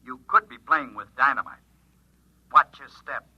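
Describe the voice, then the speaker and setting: An actor playing a brisk, cautioning newspaper boss in an old-time radio drama, clipped and wry. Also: male voice, mid-range voice, slightly rough, dry recording, soft background music, natural voice. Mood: wary. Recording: slightly dull vintage radio recording.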